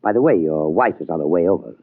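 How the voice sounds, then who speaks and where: A man's voice: sits low, expressive, quick pace. An actor playing an authoritative, fatherly older president in a 1950s radio drama. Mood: grateful, fatherly. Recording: dry, tinny 1950s radio broadcast.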